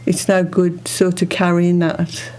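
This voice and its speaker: A woman's voice: lowish voice, monotone, unhurried, darkish tone. A middle-aged or older woman with a British accent, giving conversational answers in an interview about her work. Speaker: food bank co-founder, interviewee.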